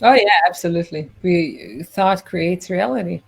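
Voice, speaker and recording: A woman from Israel hosting an interview podcast, chatty and animated, laughing easily. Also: fairly low pitch, moderate pace, creaky, slightly rough. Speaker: podcast host. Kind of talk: casual interview chat.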